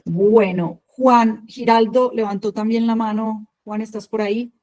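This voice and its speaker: A woman's voice: on the high side, fairly even intonation, unhurried, warm tone. A woman moderating an online panel over a video call, speaking in a friendly, affectionate way with diminutives for colleagues.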